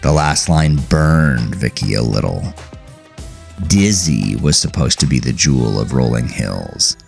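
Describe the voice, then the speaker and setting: Very deep-voiced man narrating dark fiction. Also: animated, unhurried, gravelly. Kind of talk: scripted horror fiction narration. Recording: music behind the voice. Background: music.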